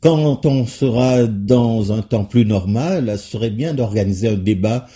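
A man hosting a radio talk show, asking his guest questions in an unhurried way with fillers. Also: lowish voice, dark tone, husky. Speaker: radio host.

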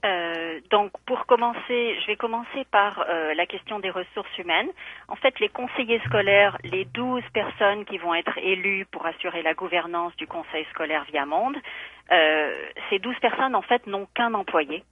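A woman's voice: medium pitch, a little slow, dry recording. A woman speaking by phone in a radio interview as a school board candidate, earnest and thoughtful with many hesitant fillers. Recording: tinny phone line.

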